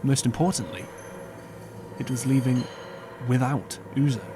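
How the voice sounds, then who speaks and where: A man narrating an audiobook as scripted storytelling, measured and earnest, with dramatic pauses. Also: medium pitch, background noise. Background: clear background music.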